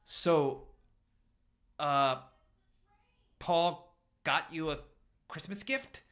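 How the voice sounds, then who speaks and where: A man voicing a nervous, nerdy, soft-hearted young man who speaks hesitantly and stammers in a story reading. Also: fairly high pitch, extremely slow, voice pushed up. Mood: awkward.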